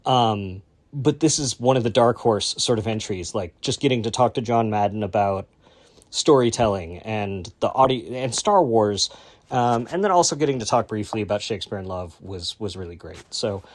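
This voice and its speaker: A man's voice: fairly low pitch, darkish tone. A male podcast host giving a casual, rambling introduction full of fillers.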